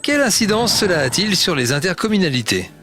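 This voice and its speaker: A woman's voice: fairly low pitch, very animated, fast talker, fairly bright tone. A woman reading scripted questions for an educational explainer. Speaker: question asker. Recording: music behind the voice. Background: music.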